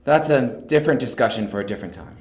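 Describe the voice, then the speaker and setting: A man's voice: mid-range voice, animated, fast, lightly acted. A man acting a supportive, philosophical older brother in an amateur audio drama, recorded in an echoey room.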